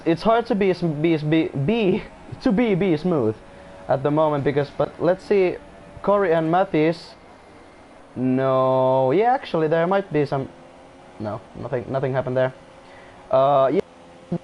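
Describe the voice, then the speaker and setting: A man's voice: on the high side, measured pace, a little mellow, a little hiss or hum. A man who is not a native English speaker commentating casually on an online race, often restarting sentences and apologizing. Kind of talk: casual live sim-racing commentary.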